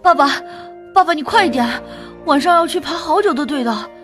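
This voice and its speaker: A woman voices a small boy in an audio drama, very high and childlike. He is an innocent, excitable son, and music plays behind him. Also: a little fast. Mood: eager, cheerful. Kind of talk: Chinese audio drama acting.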